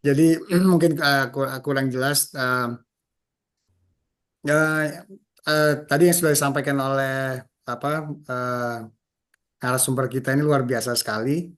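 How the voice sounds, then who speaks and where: A hoarse man, chair of an association, speaking hesitantly with many fillers on a video call. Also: medium pitch, fairly even intonation, a little slow, long pauses, a bit bright.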